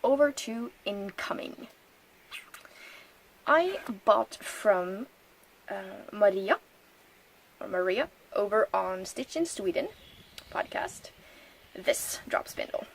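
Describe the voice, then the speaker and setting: A woman in her thirties from Norway, hosting a crafting podcast in a chatty, casual way, laughing often and pausing with ums. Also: mid-range voice, expressive, creaky, poor audio. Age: 30s. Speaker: knitting podcast host. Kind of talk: casual solo podcast chat.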